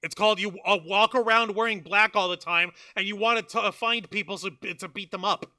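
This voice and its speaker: A man hosting a casual, joking online talk show, loud and exasperated, swearing often. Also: high voice, steady tone, a little fast, a crowd in the background. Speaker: podcast host. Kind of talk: casual comedic chat.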